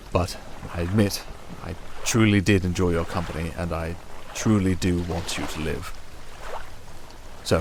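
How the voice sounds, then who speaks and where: A man's voice: sits low, background noise. A British man playing a sardonic, restrained siren in an intimate ASMR roleplay, speaking in a wry, hesitant, confiding way. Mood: bittersweet, urgent. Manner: wry, hesitant, confiding, addressing one listener. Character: sardonic, restrained, softly dangerous male siren sea creature. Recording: water and storm ambience behind voice. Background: running water and rain ambience.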